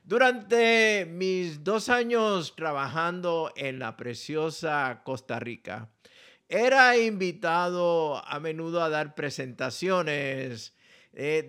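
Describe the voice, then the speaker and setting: A man with a Puerto Rican accent, a pastor and ministry director delivering a scripted message slowly and deliberately. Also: fairly high pitch, pushed and bright, smooth. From Puerto Rico. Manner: slow, deliberate, spells out contact details carefully. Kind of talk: scripted radio-style message.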